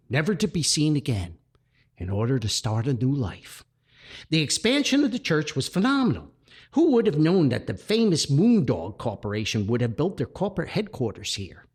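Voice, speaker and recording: Animated folksy man storytelling. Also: medium pitch, slightly rough. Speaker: podcast host, author. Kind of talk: podcast talk and story reading.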